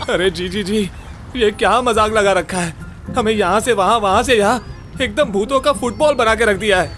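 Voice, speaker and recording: High-voiced flustered hero played by an actor. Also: male voice, moderate pace, fairly bright tone, faint music behind. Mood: indignant. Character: flustered, polite comic hero investigating ghosts. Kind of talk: horror-comedy audio drama.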